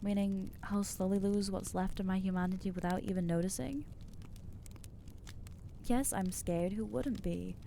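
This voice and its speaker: Nervous young vampire girl voiced by a woman, soft mellow voice, keeps trailing off and putting herself down, asmr roleplay. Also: mid-range voice, steady tone, moderate pace, vocal fry, a little hiss or hum, natural voice. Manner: hesitant, self-deprecating, trailing off. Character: anxious self-loathing young female vampire.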